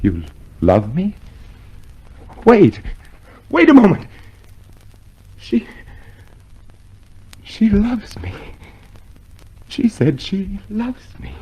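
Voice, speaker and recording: A man's voice: high-pitched, wildly swooping pitch, extremely slow, pauses often, dark tone, husky, heavily acted, rough recording. An actor playing an anxious, hopeful man in secret revolt in a radio drama, speaking hesitantly and fretfully. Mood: bewildered. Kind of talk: old-time radio drama acting.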